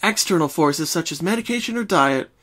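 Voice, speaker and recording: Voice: mid-range voice, lively intonation, a little fast, fairly bright tone, voice pushed up. A performer voicing a caring, bookish young female unicorn pony who speaks earnestly and precisely in a cartoon fan reading. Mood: studious. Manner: concerned, precise, articulate.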